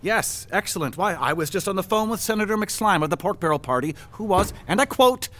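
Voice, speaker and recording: An actor playing a harried, foul-mouthed, sardonic government bureaucrat in a satirical audio drama, with a theatrical, rambling, sarcastic delivery. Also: male voice, sits high, animated, fairly quick, bright, forceful tone. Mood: outraged sarcasm.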